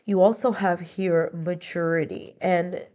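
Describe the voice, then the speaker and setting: A woman's voice: on the low side, fairly even intonation, a little slow, warm tone. Stuffy-nosed woman giving a tarot reading. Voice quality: stuffy nasal sound. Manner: explanatory, repeats points with strings of near-synonyms. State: stuffy, congested from being under the weather. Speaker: tarot reader. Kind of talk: conversational tarot and astrology reading.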